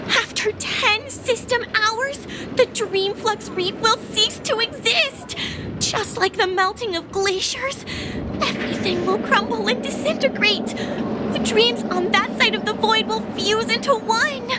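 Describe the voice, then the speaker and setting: A woman's voice: extremely high pitch, measured pace, very noisy. A woman playing an eccentric young female scientist, absorbed and earnest, lecturing intensely in a video game cutscene. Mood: grave, intense.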